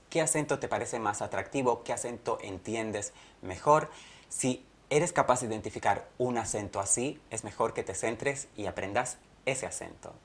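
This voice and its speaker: A man teaching a Spanish lesson to learners in a measured, thoughtful way, often pausing and correcting himself. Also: medium pitch. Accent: not Colombian. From not Colombia. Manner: measured, thoughtful, with frequent hesitations and self-corrections. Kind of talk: explanatory video lesson to learners.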